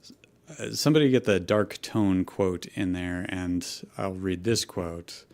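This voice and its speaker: A man's voice: sits low, measured pace, a little mellow. A man chatting casually and joking with a group as a panelist. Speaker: fan fiction show panelist.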